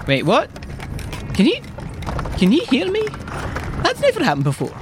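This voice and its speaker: A woman's voice: sits high, wildly swooping pitch, moderate pace, background noise. A woman playing a grumbling, folksy male shepherd in an audio drama, chatty and wry. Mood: startled. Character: grumbling male shepherd prophet fond of his animals.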